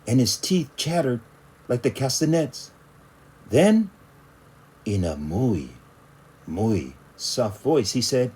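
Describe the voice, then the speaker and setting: A man narrating a children's story aloud in a dramatic, expressive storytelling style. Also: medium pitch, slightly rough. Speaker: storybook reader.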